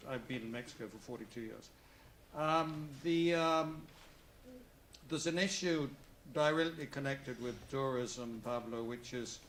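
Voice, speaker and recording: A man's voice: mid-range voice, fairly bright tone, slight background noise. An older man with a foreign accent moderating a conference panel, rambling with many asides. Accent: non-native English, foreign-accented. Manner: rambling, digressive, full of asides. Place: conference hall. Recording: roomy conference hall with faint ambience.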